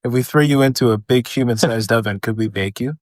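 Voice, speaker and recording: A man with a middle-pitched voice co-hosting an improvised comedy podcast. He throws in blunt, absurd questions in an offhand manner. The recording is dry and close. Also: quick pace, dry recording. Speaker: podcast co-host.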